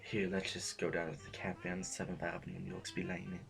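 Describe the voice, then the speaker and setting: An earnest, hesitant student played by a man in an audio drama. His voice sits a little low and comes out tentatively, in halting phrases. Music is clearly audible underneath. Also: flat delivery, fairly bright tone, full character voice. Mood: casual.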